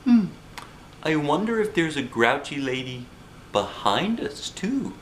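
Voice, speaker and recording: A man's voice: fairly high pitch, sing-song, slow pace, slight background noise, pitched above the performer's own voice. A man voicing a curious, cheeky stuffed toy elephant from a storybook in a childlike, inquisitive way. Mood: wondering.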